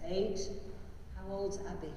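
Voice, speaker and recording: An older male priest leading prayers, then talking gently and simply to children. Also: sits high, level tone, unhurried, slight background noise. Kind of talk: liturgical prayer and children's address. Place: large church. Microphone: lectern mic, far from camera. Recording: echoey church from far off.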